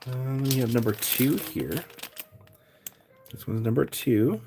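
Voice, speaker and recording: A man with a raspy voice, chatting casually as he sells rocks on a live stream and thanks buyers by name. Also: mid-range voice, lively intonation, takes it slowly, noisy background. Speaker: live stream rock seller. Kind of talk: casual live sale chat.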